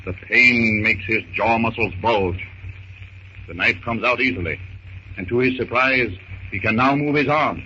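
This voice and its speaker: A man's voice: mid-range voice, normal speed, bright, forceful tone. A man narrating a 1930s radio serial with emphatic, suspenseful, clipped phrases. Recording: muffled, noisy 1930s radio recording.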